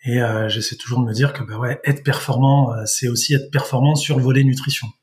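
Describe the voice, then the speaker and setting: A man's voice: mid-range voice, steady tone, a little fast, darkish tone. Hesitant man, plain mid voice. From southern France, Vaucluse. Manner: hesitant, many fillers and restarts. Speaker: guest, sports dietitian-nutritionist. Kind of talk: conversational interview answers.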